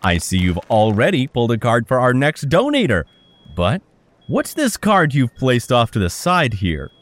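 A man's voice: mid-range voice, wildly swooping pitch, moderate pace. An actor playing a flustered male telethon host who stammers through forced cheer.

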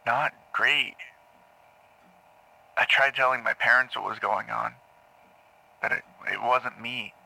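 Haunted man with gravelly, sharp-edged voice. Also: medium pitch, steady tone, slow, long pauses, heavily acted, poor audio. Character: troubled male therapy patient recounting a tormented childhood. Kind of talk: audio drama monologue on taped therapy session. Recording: old cassette tape playback.

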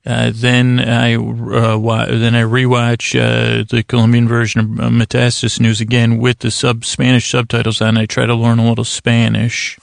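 A man's voice: medium pitch, monotone, gravelly, dry recording, studio quality. A man hosting a bedtime podcast in a rambling monologue, stammering and restarting often as he drifts into silly, self-deprecating tangents. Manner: halting, stammering, frequent false starts. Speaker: podcast host.

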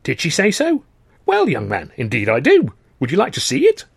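Man acting a fussy, high-pitched little old Englishman. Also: wildly swooping pitch, normal speed, creaky, husky, no room sound, clean studio sound, full character voice. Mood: delighted, proud. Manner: eager, anxious, chattering.

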